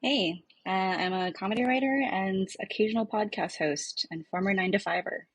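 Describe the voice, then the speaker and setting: A woman's voice: mid-range voice, moderate pace, slightly rough, rough recording. A woman speaking as a guest on a podcast, chatting casually. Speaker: podcast guest, comedy writer.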